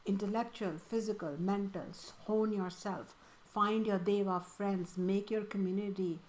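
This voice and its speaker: A woman's voice: medium pitch, steady tone, a little slow, a little mellow, poor audio. A woman from India with an Indian accent, a spiritual teacher answering student questions in an earnest, emphatic way.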